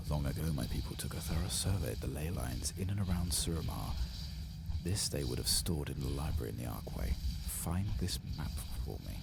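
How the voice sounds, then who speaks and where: A man softly speaking and half whispering through a game playthrough in a breathy, unhurried way. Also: rumbling low, very noisy. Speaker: host of an ASMR game playthrough. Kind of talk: soft-spoken, half-whispered game playthrough and quest reading.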